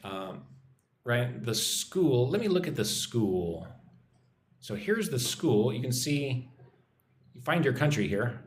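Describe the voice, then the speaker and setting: A man's voice: medium pitch, sing-song, takes it slowly, pauses often. A man with an American accent hosting a casual English-teaching livestream, reading out comments and greeting viewers in an informal, chatty way. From United States. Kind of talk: casual live chat, reading out and answering comments. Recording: roomy echo, faint background noise.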